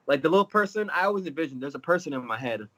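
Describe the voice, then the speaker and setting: Fighter guest on a video call, a bit stuffy, higher voice, animated joker. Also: male voice, very fast, rough recording. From Florida. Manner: animated, joking, laughing storyteller. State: stuffy with a cold. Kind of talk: casual interview over video call.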